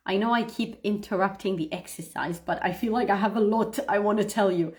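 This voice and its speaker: Expressive woman teaching English live. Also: mid-range voice, fairly quick. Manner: instructive, expressive, emphatic, often checking understanding. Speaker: English pronunciation teacher, livestream host. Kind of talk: live lesson talking to viewers, demonstrating read-aloud.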